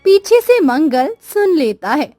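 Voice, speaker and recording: A woman's voice: sits high, very animated, slow pace, mellow, dry recording, studio quality, soft background music. A woman narrating a scripted cartoon moral story.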